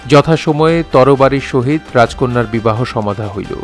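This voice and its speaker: A man's voice: medium pitch, moderate pace, faint music behind. A man narrating an audio drama in a formal, literary storytelling manner.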